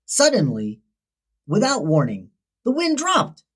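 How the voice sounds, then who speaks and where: Very animated woman reading a children's story. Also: medium pitch, takes it slowly, breathy edge. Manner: dramatic, expressive storytelling. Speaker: storybook narrator. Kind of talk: scripted children's story read-aloud.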